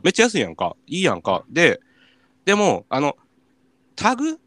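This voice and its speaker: 関西弁で抑揚豊かに話す若い男性. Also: male voice, on the high side, normal speed. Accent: Kansai Japanese. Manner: animated, punctuates stories with quoted reactions. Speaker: radio show host. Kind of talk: casual comic storytelling chat.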